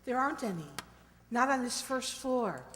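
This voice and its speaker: A high, swooping female voice, a woman acting as an excitable, devoted wife in a radio mystery. She sleuths beside her husband over clear background noise. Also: normal speed, in a large hall. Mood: hushed, tense.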